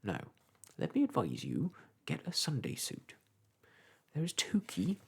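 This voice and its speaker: Man doing a garrulous old village clerk, gravelly, husky. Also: a little high, wildly swooping pitch, slow, exaggerated voice. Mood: advising. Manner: rambling, folksy, lecturing.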